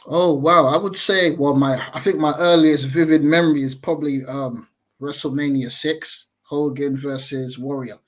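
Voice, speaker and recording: Thoughtful thirties man, slightly high voice. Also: steady tone, moderate pace. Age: 30s. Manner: thoughtful, hedging, self-correcting. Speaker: podcast guest. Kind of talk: casual podcast chat over video call. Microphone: video call.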